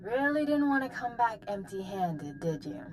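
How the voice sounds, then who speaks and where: A woman's voice: medium pitch, sing-song, normal speed, a little mellow, a little hiss or hum, close to an everyday voice. An actress playing a sneering, taunting female bounty hunter in a video game, with a mocking drawl.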